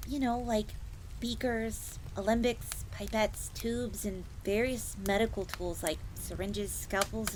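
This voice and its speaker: A woman's voice: mid-range voice, close to an everyday voice. A woman playing a chatty, deferential fox maid who talks about herself in the third person in a fantasy audio roleplay. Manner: refers to self in third person, informal, explanatory. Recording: clear background noise, crackling fire ambience.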